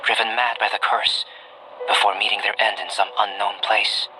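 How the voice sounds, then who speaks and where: An actor playing a calm, curious young male alchemist in a video game, speaking in a measured, detached and articulate scholarly way. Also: on the low side, very noisy, lightly acted. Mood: calm, explanatory. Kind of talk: scripted video game voice acting. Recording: music behind the voice.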